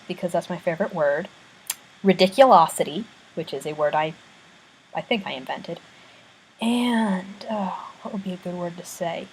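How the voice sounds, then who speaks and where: Young woman vlogger, fairly low voice, casual and rambling, lots of ums, bedroom webcam recording. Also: slight background noise, low-quality recording. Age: young adult. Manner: chatty, frequent ums, self-deprecating asides. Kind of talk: casual chat and reading aloud. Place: small bedroom with bunk bed, indoors. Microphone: built-in webcam mic.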